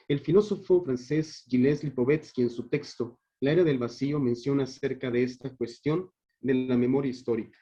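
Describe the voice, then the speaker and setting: Mellow Mexican man reading a formal paper. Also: medium pitch. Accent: northern Mexican. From Monterrey, Mexico. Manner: formal, literary. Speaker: conference speaker, theatre director. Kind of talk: reading an academic paper aloud. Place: video call. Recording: dull online call audio.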